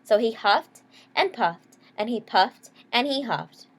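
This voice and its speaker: A woman's voice: a little high, lively intonation, slow, fairly bright tone. A woman storyteller reading a children's picture book aloud in a teacherly way, explaining as she goes. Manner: checks in with listeners using short questions.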